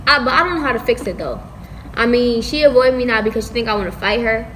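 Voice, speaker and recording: A woman's voice: a little high, lively intonation, fast, noisy background, lightly acted. A woman playing a defensive teenage schoolgirl in casual drama dialogue among friends. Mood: defensive, unsure. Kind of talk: stage play video skit, casual dialogue.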